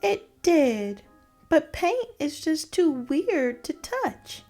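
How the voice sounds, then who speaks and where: A woman plays an earnest, anxious young zebra boy from a storybook. The voice swings expressively in pitch and moves at an unhurried pace, with music playing behind it. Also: sing-song, dark tone, heavily acted. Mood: uneasy. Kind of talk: storybook character voice.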